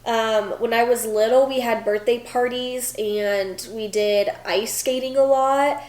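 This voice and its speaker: A young woman in her twenties chats through viewer questions in an easygoing vlog, her voice a little high and stuffy from a cold. She fills her talk with "like" and "um." The room around her is noticeably echoey. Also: fairly even intonation, unhurried, a little mellow. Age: 20s. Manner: chatty, lots of "like" and "um". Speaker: vlogger, former college gymnast. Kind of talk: casual Q&A vlog.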